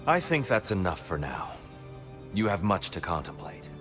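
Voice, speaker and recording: A wise counsellor with a lowish voice. He speaks in measured, articulate, philosophical phrases like a video game mentor guiding a troubled warrior, over loud music. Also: big pitch swings. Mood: calm, counselling. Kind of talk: cinematic video game acting. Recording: music behind.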